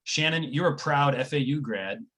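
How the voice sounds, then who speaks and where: A man moderates a career webinar over a video call, brisk and upbeat as he moves through prepared transitions. His voice sits at an ordinary middle pitch. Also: animated, bright, forceful tone, slightly rough. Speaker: panel moderator.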